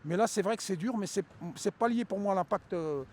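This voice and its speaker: A man answering a street interview, halting and trailing off softly. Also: sits high. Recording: faint street noise.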